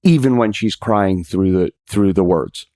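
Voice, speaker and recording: A man's voice: deep voice, unhurried, mellow, dry recording, studio quality. A man talking as a podcast guest, casual, earnest and emphatic, repeating himself to stress his point.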